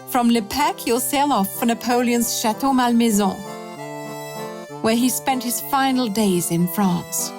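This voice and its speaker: A woman reading a polished, scripted promotional travel narration. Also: on the high side. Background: music.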